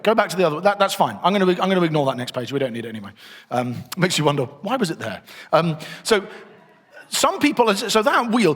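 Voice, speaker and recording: A man's voice: a little high, a bit bright. A middle-aged man giving a conversational church teaching session, thinking aloud as he works through his slides. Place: church hall. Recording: long reverb of a hall.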